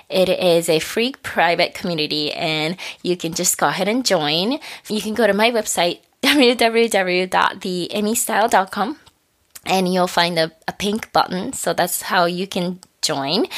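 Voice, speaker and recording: A woman wraps up her podcast episode in a relaxed, chatty way, her voice somewhat low and husky from weeks of coughing. She sounds encouraging and laughs softly now and then. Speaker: podcast host. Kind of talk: casual solo podcast wrap-up.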